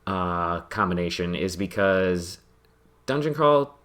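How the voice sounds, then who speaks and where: Low-voiced man rambling while he games. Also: lively intonation, slow pace, a bit bright. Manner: rambling, self-deprecating, laughs often. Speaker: podcast host playing a game. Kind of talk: casual chat while gaming.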